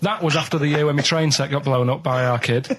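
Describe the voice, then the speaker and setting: Male radio sidekick, higher-pitched voice, colloquial and matter-of-fact anecdote delivery. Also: steady tone, fast, noisy background. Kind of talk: casual anecdote on a radio show.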